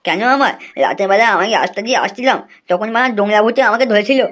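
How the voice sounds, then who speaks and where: A woman's voice: medium pitch, fast, a bit bright, natural voice. A woman voicing a cheeky, whiny young boy in a comic cartoon, grumbling and easily frightened. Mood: indignant. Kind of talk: comic cartoon dubbing in colloquial Bengali.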